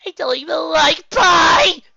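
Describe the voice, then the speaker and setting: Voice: very high-pitched, slow pace, gravelly, bright, forceful tone, dry recording, raised voice, highs cut off, pitched above the performer's own voice, exaggerated voice. A performer voicing a brash, dramatic young female unicorn, proud and easily exasperated, in a theatrical storybook reading. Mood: exasperated, shouting. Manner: theatrical, exclamatory.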